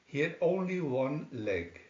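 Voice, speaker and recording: A man reading a fairy tale aloud as scripted narration, with a deliberate, halting delivery. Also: on the high side, very animated, a little mellow. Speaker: storybook reader. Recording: echoey room, dull lo-fi sound.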